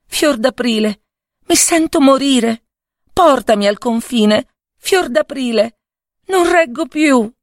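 Storybook snow maiden, played by a woman narrator: young, fragile, gentle, lifted pitch, very animated, dry recording. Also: takes it slowly, no room sound, exaggerated voice. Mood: desperate, faint. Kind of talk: storybook fairy-tale character voice.

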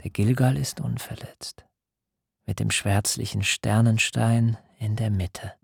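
A man playing a grave, cautious traveller in a literary radio drama, with a husky, gravelly voice and measured delivery. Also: lowish voice, fairly even intonation, no room sound, clean studio sound, full character voice. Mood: hushed, grave.

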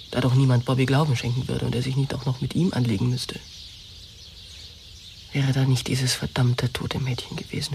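A woman's voice: low voice, far from the mic, a little hiss or hum. An actress in a German radio drama playing a wounded young woman, pleading and confessing with insistent emotion.

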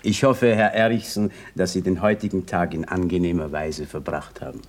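Deep-voiced, ceremonious old beggar. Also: male voice, steady tone, normal speed. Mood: ceremonious, courteous. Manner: formal, courteous, fussy. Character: ceremonious old male beggar reciting his daily motto. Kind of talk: radio play acting.

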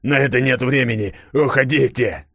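Man acting a hoarse, dying spymaster father. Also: mid-range voice, normal speed, a bit bright, no echo, clean studio sound, pitched above the performer's own voice. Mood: urgent, commanding. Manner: earnest, dramatic. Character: dying spymaster father, commanding yet remorseful.

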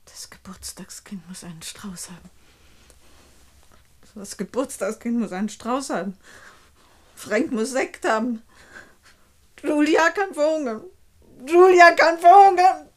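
An actress playing a put-upon, resentful niece in a radio play, speaking with a curt, dryly pointed manner. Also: female voice, high voice, a little hiss or hum. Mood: bitter, unhinged. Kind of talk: German radio play acting.

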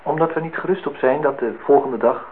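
An actor playing a polite, reflective, wistful office worker in a Dutch radio drama, speaking formally and deliberately. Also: male voice, mid-range voice, lightly acted. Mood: pensive. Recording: tinny, band-limited old radio recording, faint noise.

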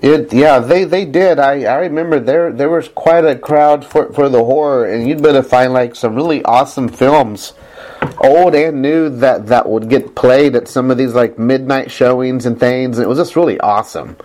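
A man's voice: normal speed, darkish tone. A man hosting a podcast, chatting casually with enthusiasm and frequent laughs. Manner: enthusiastic, laughing often, with big pitch jumps. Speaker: podcast host.

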